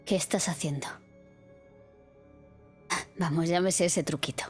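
A woman acts out a wary, defiant woman in a tabletop horror game. Her voice is low, and she presses with short, insistent questions despite her fear. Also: fairly even intonation, unhurried, faint music behind, close to an everyday voice. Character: frightened but defiant, suspicious woman.

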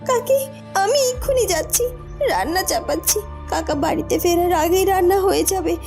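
Cartoon casting: meek orphan girl, extremely high, soft-edged plaintive voice woman actor, faint music bed. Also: normal speed, vocal fry. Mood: meek, apologetic. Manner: pleading, plaintive, submissive. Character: poor meek hungry orphan village girl, pitiful. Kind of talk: cartoon story acting.

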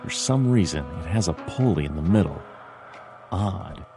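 An actor playing a dry, weary bird detective, narrating a noir adventure game in terse deadpan. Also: male voice, deep voice, normal speed. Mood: dry, observing. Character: brooding anthropomorphic bird detective, adult male, dry and weary. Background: jazzy background music.

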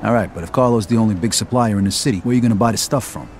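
An actor playing a skeptical young mobster in a video game, reserved, doubtful and plain-spoken. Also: male voice, fairly low pitch, rapid-fire, darkish tone, a little hiss or hum, natural voice. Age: young adult. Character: wary, skeptical young American mobster, principled. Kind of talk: video game cutscene acting.

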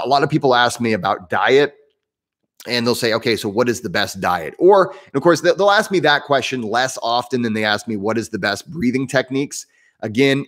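A man in his thirties from southern Indiana, with a southern Indiana Midwestern accent, hosting a casual live Q&A stream from a room at home on a desk microphone and talking in a chatty, animated way. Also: medium pitch. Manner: chatty and animated, frequent self-restarts and laughs, gestures with hands. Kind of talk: casual live-stream talk answering viewer questions. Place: home room, indoors, medium size. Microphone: desk microphone in front, webcam framing.

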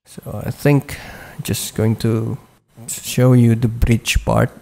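A man slowly talking through a music production project over a screen recording, with frequent pauses, "uh" and "okay". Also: mid-range voice, level tone, gravelly, very noisy. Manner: slow, hesitant walkthrough with frequent "uh" and "okay".

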